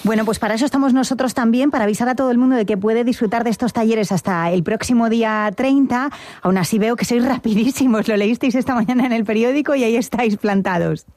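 A woman's voice: fairly high pitch, quick pace, a little mellow, no echo. A woman hosting a live radio show the studio, friendly and playful with her callers. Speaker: radio host.

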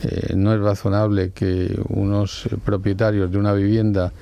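An older man from Spain, a native speaker of Valencian, calmly explaining his views in an interview with many "eh" fillers. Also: on the low side, gravelly, clean tone. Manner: measured, explains with rhetorical questions and many "eh" fillers. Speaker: interviewee, law professor and judge. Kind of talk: reflective interview answers.

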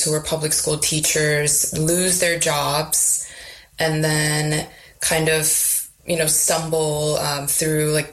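A woman from South Korea, an interview guest, answering in a thoughtful, measured way. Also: deep voice, a bit bright, low-quality recording.

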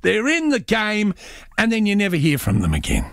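A man's voice: sits high, wildly swooping pitch, moderate pace, creaky, fairly bright tone, dry recording, clean studio sound. A man hosting a casual radio chat, playful and teasing, breaking into bits of song. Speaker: radio host.

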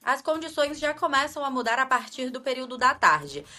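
A young woman from Espírito Santo with a mixed Espírito Santo and Minas Gerais accent, giving a radio weather report in a clear, measured way. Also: high-pitched, big pitch swings, bright, forceful tone, soft background music. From Espírito Santo, Brazil.

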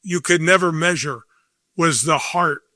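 A man's voice: a little high, a little slow, sharp tone, dry recording, clean studio sound. A man in his sixties hosting a casual interview, asking friendly questions that ramble and restart. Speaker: host.

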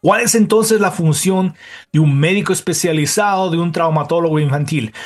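A man who is a doctor hosting a health talk program, reading informative material in a measured way before interviewing a guest. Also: fairly high pitch. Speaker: radio show host, doctor.

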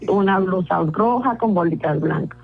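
A woman's voice: on the low side, moderate pace, creaky. A woman hosting a comedy call on the radio who stays patient, laughs and plays along. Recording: dull radio sound with music.

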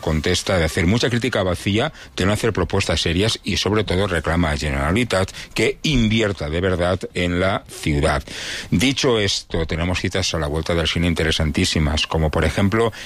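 A man with a deep, low voice reads through the local news on the radio. He keeps it casual and chatty, pausing on fillers as he goes. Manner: relaxed, chatty, halting with fillers.

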